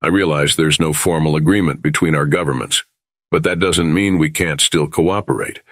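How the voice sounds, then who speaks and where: Starship captain, male actor: very low voice, composed, reasonable negotiator. Close, dry sound, audio drama delivery. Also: dry recording. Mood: earnest, persuasive. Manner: measured, reasonable, conciliatory.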